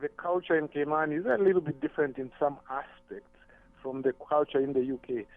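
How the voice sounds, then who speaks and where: A man from Zambia speaks as a radio show guest, calling in over a narrow, tinny phone line. He talks thoughtfully, often repeating words while he gathers his thoughts. His voice sits a little high and the sound is dry. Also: steady tone, brisk, dry recording. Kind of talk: radio talk show discussion.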